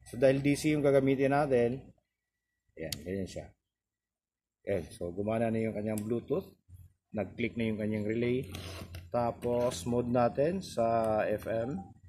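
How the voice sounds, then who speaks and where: A man with a Filipino accent giving a casual, halting, explanatory repair walkthrough as a technician hosting his own video. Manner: halting, explanatory, with frequent check-in tags. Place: repair spot beside a highway. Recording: noisy highway traffic behind voice. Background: loud vehicle and traffic noise.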